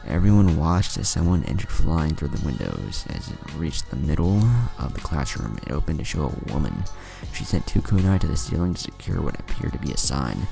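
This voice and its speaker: A man's voice: extremely low pitch, sing-song, fairly quick, gravelly, few pauses. A man reading a scripted fan fiction story as narrator, voicing the dialogue as he goes. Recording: music behind the voice. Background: music.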